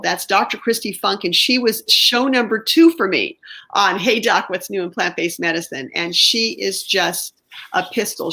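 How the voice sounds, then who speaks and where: A woman's voice: on the low side, creaky, pushed and bright. A woman hosting an online interview show, chatty and enthusiastic, restarting her sentences often. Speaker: show host.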